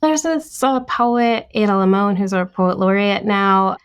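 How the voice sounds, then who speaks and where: A woman's voice: mid-range voice, measured pace, clear, resonant tone. A woman author whose first language is Tamil, talking reflectively in a podcast interview. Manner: thoughtful, lively, reads passages aloud. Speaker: author guest.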